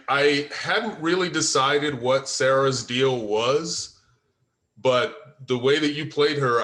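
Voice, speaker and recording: A man hosting a casual table read discussion as the writer, phrasing his thoughts in a halting, deliberate way. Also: mid-range voice, sharp tone. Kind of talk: casual discussion, giving and asking for feedback.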